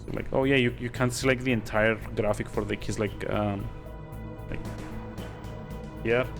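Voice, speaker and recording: A man giving casual, halting live commentary on a video game, sounding sleepy and bored. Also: lowish voice, steady tone. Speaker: streamer playing a video game. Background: game music.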